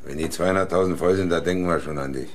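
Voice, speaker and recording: A man acts a blunt brigade boss in a radio drama. His voice is low, his talk direct and colloquial, with faint noise behind. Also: quick pace, close to an everyday voice. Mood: reassuring. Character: direct, exasperated male work brigade boss. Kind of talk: German radio drama acting.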